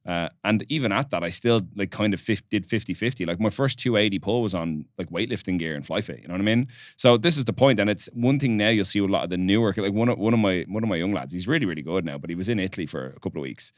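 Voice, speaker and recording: A man's voice: sits low, quick pace, few pauses, dry recording, clean studio sound. A young man hosting a casual podcast interview, blunt and swearing freely as he talks. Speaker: podcast host.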